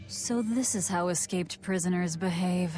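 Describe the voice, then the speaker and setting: A guarded, hard-edged young heroine, played by an actress, speaks in a lowish female voice. She is blunt and deadpan in a dramatic game style, with faint music behind. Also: moderate pace, close to an everyday voice. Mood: curt. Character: curt, cold, guarded young woman, escaped prisoner. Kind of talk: dramatic video game voice acting.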